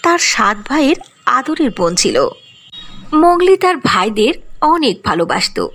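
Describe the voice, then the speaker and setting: Lively woman telling a cartoon fairy tale. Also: sits high, normal speed, slightly rough. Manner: expressive and storytelling. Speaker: narrator. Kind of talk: storybook narration.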